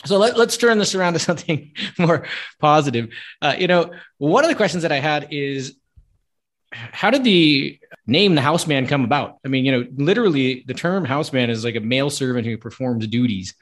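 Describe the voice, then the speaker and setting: A man's voice: on the high side, lively intonation, a little fast, a bit bright. A man interviewing a guest, chatty and casual as he asks his questions.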